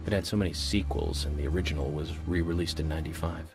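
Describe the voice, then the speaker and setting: An actor playing an eccentric, chatty FBI agent in a video game, musing in a measured, self-assured, theatrical way. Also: male voice, very deep voice. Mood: nostalgic musing. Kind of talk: scripted game dialogue, monologue to an unseen companion. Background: game music.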